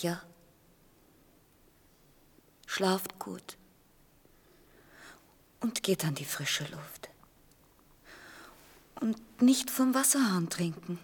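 An actress in a German radio play as a frail, motherly middle-aged woman, half-whispering haltingly in a breathy, intimate murmur. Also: lowish voice, exaggerated voice. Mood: anxious, tender.

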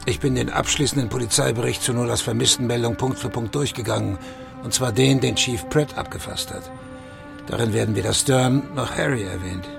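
An actor playing a gruff, direct police sergeant in a German radio crime drama, blunt and businesslike with a gravelly voice. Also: male voice, medium pitch, fairly quick, over music.

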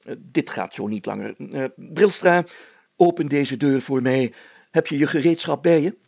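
A man's voice: on the high side, vocal fry, a little mellow, husky, no echo, voice pushed up. A man voicing a pompous, fatherly and easily flustered village mayor in a storybook, stammering and drawing out his phrases. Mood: stern. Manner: stammering, deliberate, drawn-out phrasing.

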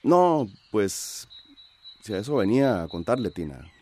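A man's voice: fairly low pitch, very animated, a little slow, dark tone. An actor playing a shy, gloomy young village man in a radio drama. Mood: somber. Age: young adult.

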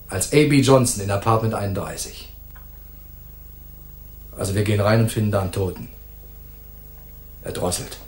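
A hot-tempered bully of a police detective in a noir radio play. He taunts and sneers in a fairly low voice, aggressive and contemptuous. The room around him echoes. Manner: mocking, aggressive, swinging from soft taunts to barking. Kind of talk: noir radio drama dialogue.

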